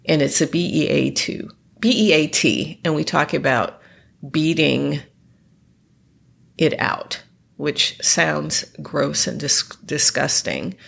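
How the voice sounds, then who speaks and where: A woman's voice: very deep voice, creaky. A woman hosting her podcast alone, sounding congested and nasal as she recovers from illness, rambling with many ums and restarts. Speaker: podcast host. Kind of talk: casual solo podcast talk.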